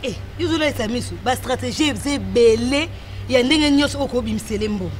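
A woman's voice: on the high side, fairly quick, slight background noise, close to an everyday voice. Scheming young Congolese woman, played by an actress. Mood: persuasive, scheming. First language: Lingala. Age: young adult. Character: scheming, outspoken young woman advising her friend. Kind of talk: filmed Congolese popular theatre dialogue. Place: outdoors in a walled garden.

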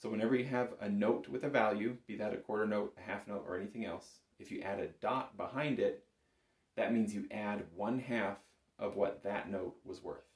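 A man's voice: lowish voice, normal speed. A middle-aged man giving an informal, explanatory music lesson to camera, recorded on a phone in a room. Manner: explanatory, often checking in with "right?". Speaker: bagpipe instructor. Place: small indoor music room with instruments on the walls. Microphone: phone camera a short distance away. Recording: roomy echo, phone recording.

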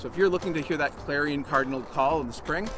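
Quick-talking man, outdoor nature guide. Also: fairly high pitch, background noise. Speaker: conservation program manager, nature guide. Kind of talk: informal educational presentation to camera. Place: outdoors in snowy woods.

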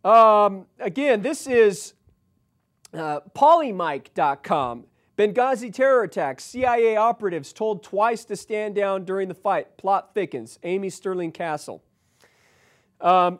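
A man with an American accent and a notably high-pitched voice delivers political commentary. He reads news items aloud and breaks off into emphatic, halting asides, often repeating himself. He sounds like he is in his forties. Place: indoor studio set, seated at a desk.

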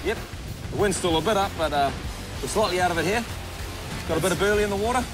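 A man with a New Zealand accent explaining land-based fishing while standing on coastal rocks. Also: high voice. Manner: quick, explanatory, speaks with fillers. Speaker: fishing show presenter. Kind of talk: casual outdoor fishing explanation. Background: music.

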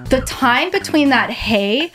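Lively young woman hosting a podcast. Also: high-pitched, takes it slowly, music in the background. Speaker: podcast host. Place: small cozy studio room, indoors. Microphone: boom-mounted studio mic.

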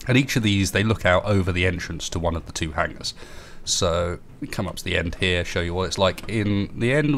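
Deep-voiced man with a stuffy cold. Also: slight background noise. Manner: relaxed, rambling, self-deprecating. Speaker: gaming YouTuber. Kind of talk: casual game build tour.

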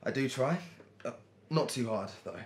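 A man's voice: medium pitch, animated, moderate pace, a little hiss or hum, close to an everyday voice. A man playing an obsessive, lovelorn artist in an audio drama, speaking hesitantly and with awkward politeness. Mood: nervous, hesitant. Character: nervous, obsessive lovelorn male artist narrating his longing. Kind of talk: dramatic monologue and phone dialogue.

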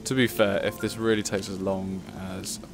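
A man's voice: on the low side, little pitch movement, moderate pace. A man casually commentating his gameplay, chatty and self-mocking, swearing at himself when stuck. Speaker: let's play commentator. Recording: game music playing behind.

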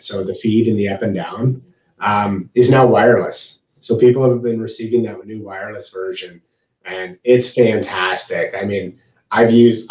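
A man's voice: fairly low pitch, darkish tone. A man from a sawmill company talking on a video call, enthusiastic and hands-on, often repeating himself. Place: office room, seated with a colleague. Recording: roomy, dull video call audio.

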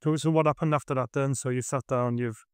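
A man's voice: mid-range voice, fairly even intonation, fast talker, clear, resonant tone, dry recording, studio quality. A man in his thirties hosting a podcast, asking thoughtful, tentative follow-up questions over a video call. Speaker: podcast host. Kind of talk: interview questions. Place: small indoor room. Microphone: video call with earbuds.

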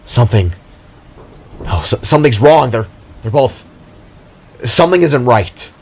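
Man voicing an anxious, halting young hero. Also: medium pitch, animated, darkish tone, slightly rough. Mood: alarmed, uneasy. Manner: halting, stuttering when worried. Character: anxious winged spark hero, male, stammering with dread. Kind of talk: dramatic story character reading.

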